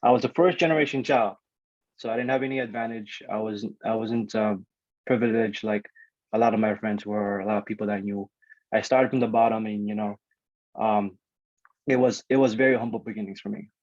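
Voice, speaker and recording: A young man from the Philippines, raised on Guam, whose first languages are Ilocano and Tagalog, giving a relaxed, chatty alumni talk over a video call with lots of fillers and restarts. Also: on the low side, fairly quick, no echo. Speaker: alumni guest speaker. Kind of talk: casual presentation on a video call. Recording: dry, slightly dull call audio.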